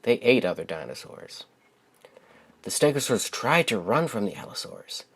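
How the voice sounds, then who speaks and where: A man's voice: mid-range voice, sing-song, normal speed, fairly bright tone, slightly rough. A young man who hosts a channel and reads his own writing aloud for viewers. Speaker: channel host and children's book author. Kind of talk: casual spoken introduction to viewers.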